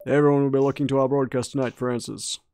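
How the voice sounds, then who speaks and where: A man's voice: medium pitch, little pitch movement, quick pace, mellow, slight background noise, close to an everyday voice. A man voicing a stern, calculating newspaper editor in a game, reading the lines aloud in a matter-of-fact way.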